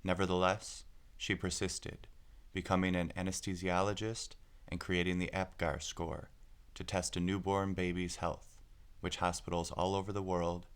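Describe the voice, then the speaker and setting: A man with a deep voice reads a children's picture book aloud. There is plenty of vocal fry, and the scripted narration is clearly phrased with deliberate pauses. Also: flat delivery.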